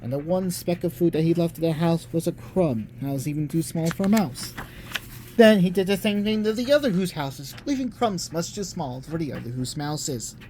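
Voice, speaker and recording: A narrator giving a theatrical, expressive read-aloud of a rhyming children's story. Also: medium pitch, normal speed, a little hiss or hum.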